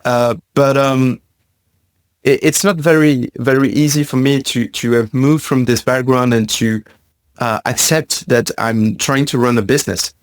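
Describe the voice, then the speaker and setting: A man from Belgium answering interview questions in a thoughtful, halting way, with frequent fillers and repeated words. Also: medium pitch, steady tone, no room sound. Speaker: podcast guest, science communicator.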